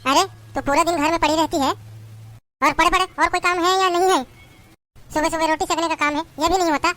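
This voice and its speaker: A woman's voice: very high-pitched, steady tone, a little fast, a bit bright. A woman playing a harsh scolding mother-in-law. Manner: taunting, berating, insulting. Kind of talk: family drama acting.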